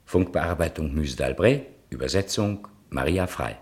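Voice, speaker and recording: A man's voice: a bass voice, animated, unhurried. A man reading scripted programme credits as a radio announcer.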